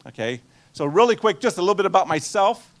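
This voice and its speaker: A man in his sixties, born in Guatemala and a native Spanish speaker, teaches a room of people about money. His voice sits a touch high for a man. He keeps it chatty, tossing questions at the crowd and slipping in wry jokes. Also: lively intonation, fast. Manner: chatty, quizzes the audience and drops dry jokes. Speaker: nonprofit finance presenter. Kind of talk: conversational seminar talk to a live audience.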